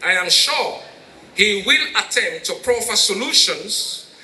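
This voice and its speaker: A man with a Sierra Leonean accent delivers a ceremonial introduction in a high, very piercing voice. He is grandiloquent and slow, stressing his long words. The sound is echoey, like a hall PA. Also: animated, sharp tone. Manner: grandiloquent, emphatic, declamatory. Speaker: master of ceremonies introducing the keynote speaker. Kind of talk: formal ceremonial introduction speech. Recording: roomy echoing PA sound, faint noise.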